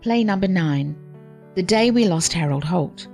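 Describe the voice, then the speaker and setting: A woman narrates a historical script in a formal, measured way. Her voice is on the low side, with music clearly playing behind her. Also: expressive, darkish tone.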